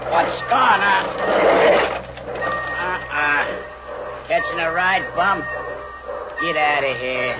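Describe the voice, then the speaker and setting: An actor playing a gruff, bullying railroad guard in a 1940s radio drama, barking threats. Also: male voice, on the high side, slow pace, pauses often. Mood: menacing. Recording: muffled vintage radio recording with loud music.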